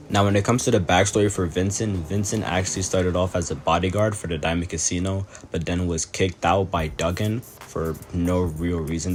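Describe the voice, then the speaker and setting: A man narrating a gaming video in an explanatory commentary style. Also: low-pitched. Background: music.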